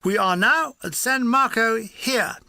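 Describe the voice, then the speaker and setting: A man's voice: very high-pitched, a little slow, creaky, pushed and bright, no room sound, clean studio sound, pitched above the performer's own voice, heavily acted. A man voicing a hapless, officious British army lieutenant, giving orders formally. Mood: briskly official.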